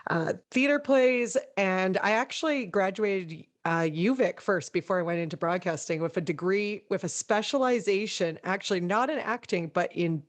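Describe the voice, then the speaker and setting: A young adult woman with a low voice, talking in a loose, chatty way. She laughs easily and fills her sentences with "like" as she tells her story on a podcast. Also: normal speed, few pauses. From British Columbia, Lower Mainland. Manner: chatty, laughs often, uses "like" a lot. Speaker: podcast guest, broadcaster. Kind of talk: casual interview chat.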